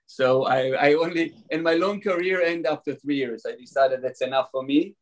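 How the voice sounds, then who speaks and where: A man's voice: fairly high pitch, moderate pace. A middle-aged man with an Israeli accent, chatting as a guest with plenty of ums and uhs. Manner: relaxed, many ums and uhs. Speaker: guest, wine founder. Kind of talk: casual interview chat.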